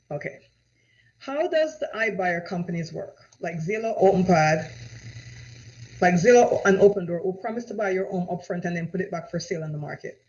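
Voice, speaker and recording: A woman's voice: medium pitch, a little mellow. Jamaican-accented woman real estate agent, pushy rhetorical sales talk, dull lo-fi recording. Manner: persuasive, rhetorical questions, repeats "right?". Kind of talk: direct-to-camera sales pitch. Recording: dull lo-fi audio.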